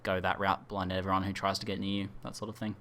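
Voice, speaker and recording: A man's voice: deep voice, monotone, quick pace, pushed and bright, slight background noise. A man hosting a casual gaming podcast, chatting informally and reading out questions from the chat. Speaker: podcast host.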